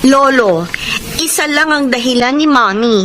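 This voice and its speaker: A woman's voice: high voice, unhurried. A woman playing a sweet, respectful young adult daughter in a Filipino radio drama, with a Filipino accent. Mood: confiding. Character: polite, giggly young adult daughter and granddaughter. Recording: faintly noisy radio drama broadcast.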